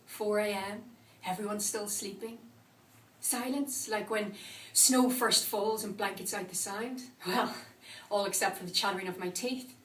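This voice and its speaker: A woman playing a vengeful, traumatised woman in a confessional monologue, speaking deliberately with dramatic pauses. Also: mid-range voice, steady tone, slight background noise, close to an everyday voice. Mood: tense, controlled. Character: vengeful, traumatised woman recounting a violent night. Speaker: actor performing a monologue. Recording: echoey room, distant microphone.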